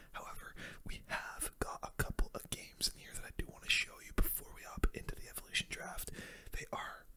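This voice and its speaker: Whispered voice, ASMR gaming commentary, breathy and unvoiced, very bright hissy tone, close mic, streamer host. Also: very high-pitched. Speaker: video game streamer and host.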